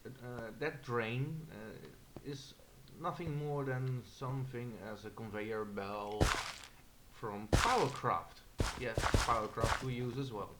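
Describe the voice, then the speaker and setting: A man's voice: medium pitch, slow, very noisy. A young Dutch man with an accent, hesitantly narrating a tour of his game server with many fillers. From Netherlands. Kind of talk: casual screen-recorded walkthrough.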